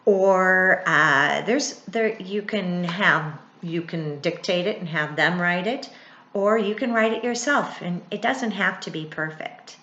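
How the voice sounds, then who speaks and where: A middle-aged woman reads a children's book aloud like a teacher, expressively, pausing for asides and questions to young listeners. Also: lowish voice, dull audio. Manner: expressive, with dramatic gasps and thoughtful hums. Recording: roomy, echoey indoor sound.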